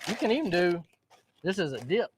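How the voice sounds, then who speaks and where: A man's voice: high-pitched, sing-song, a little fast, breathy edge. Easygoing man explaining recipes. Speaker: cooking show host. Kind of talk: casual cooking demonstration.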